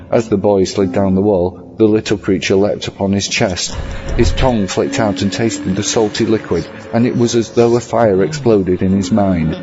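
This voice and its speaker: A man with a very low voice narrates a horror tale. His delivery is measured and literary. Music plays prominently behind the slightly dull recording. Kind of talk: scripted horror story narration.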